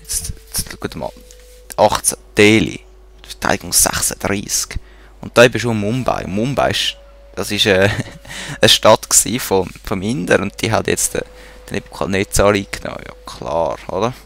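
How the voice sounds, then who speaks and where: A man's voice: on the low side, lively intonation, moderate pace, gravelly, a bit bright. A man with a Swiss German accent giving casual commentary while playing a strategy game, muttering to himself and talking to the units on screen. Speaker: let's play gamer.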